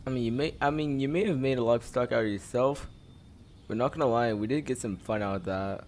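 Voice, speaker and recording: A man's voice: medium pitch, quick pace, a little mellow. A man giving casual, slangy, amused commentary as he streams a video game.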